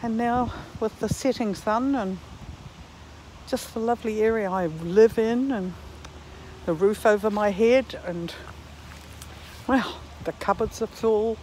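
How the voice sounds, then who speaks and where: A woman in her late sixties talks slowly and reflectively, musing aloud with halting pauses. She is vlogging on a walk outdoors, with faint ambient and vehicle noise behind her. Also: on the high side, mellow, low-quality recording. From Netherlands and New Zealand. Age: 60s. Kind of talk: reflective walking vlog monologue. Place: outdoors, walking in a park.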